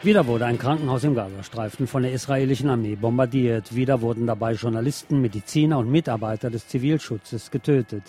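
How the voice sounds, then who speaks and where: A man's voice: medium pitch, fast, few pauses, warm tone, faint music behind. A man reading scripted political news and commentary as a radio presenter.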